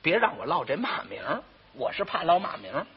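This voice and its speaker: A man's voice: on the high side, sing-song, brisk, slightly rough, exaggerated voice. A man voicing a pompous, fretful eldest brother in a comic tale, stammering and emphatic, with a Beijing accent. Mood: self-righteous, fretful. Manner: stammering, emphatic, repeats himself. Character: pompous, fretful eldest brother guarding his good name. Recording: muffled, dull old recording.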